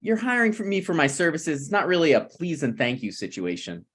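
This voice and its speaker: Man reading as brazen scheming slacker, animated delivery. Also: on the high side. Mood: blunt, self-assured. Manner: blunt, presumptuous, halting. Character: Ryan, brazen, scheming slacker screenwriter, male. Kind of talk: table read of a TV pilot script.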